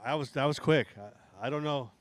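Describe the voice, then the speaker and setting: A middle-aged man with a hoarse voice, casually commentating a live outdoor RC drag race. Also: mid-range voice, moderate pace. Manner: casual, rambling banter with restarts.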